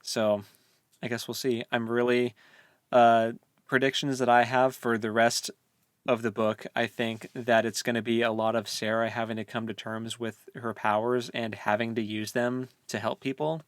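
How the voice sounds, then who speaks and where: A man's voice: mid-range voice, level tone, moderate pace, clean tone, dry recording, clean studio sound. A man co-hosting a book discussion in a casual chat style, thinking aloud in a hesitant way. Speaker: podcast co-host.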